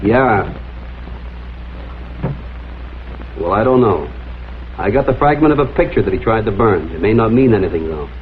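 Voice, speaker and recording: An actor playing a shrewd, persistent crime photographer in a vintage detective drama, questioning politely but insistently. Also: male voice, fairly low pitch, animated, a little fast, a little hiss or hum, natural voice. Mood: reasoning. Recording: muffled phone-like old soundtrack, music behind.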